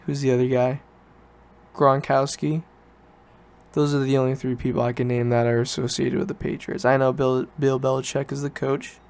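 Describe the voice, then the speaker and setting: Male, late teens, smooth clear voice at a medium pitch, informal solo podcaster who rambles and backtracks. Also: level tone, moderate pace, darkish tone. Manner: informal, self-correcting, loosely rambling. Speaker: podcast host. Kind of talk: casual solo podcast chat, rambling storytelling.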